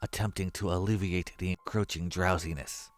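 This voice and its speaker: Low-pitched guy narrating a scary story, music under him. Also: steady tone, normal speed. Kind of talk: scripted horror story narration.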